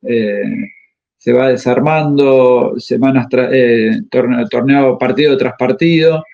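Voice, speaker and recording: A man, a tennis coach answering interview questions and pausing often to find his words. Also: mid-range voice, steady tone, creaky. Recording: slightly muffled audio.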